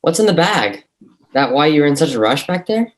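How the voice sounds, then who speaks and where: A man's voice: on the high side, big pitch swings, fairly quick, background noise. A man acting a reserved, skeptical son in a dramatic table read.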